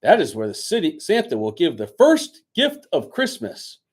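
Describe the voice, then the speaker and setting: A man voicing a commanding, assured train conductor from a storybook, with a booming, proclaiming delivery. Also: huge pitch swings, moderate pace, breathy edge, exaggerated voice. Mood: explaining. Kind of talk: storybook character voice.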